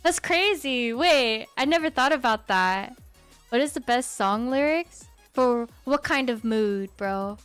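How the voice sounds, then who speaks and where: A woman's voice: fairly high pitch, expressive, measured pace, fairly bright tone, clear, resonant tone, soft background music. A young woman in her twenties, chatting casually on a live stream in a laid-back way, reading chat aloud.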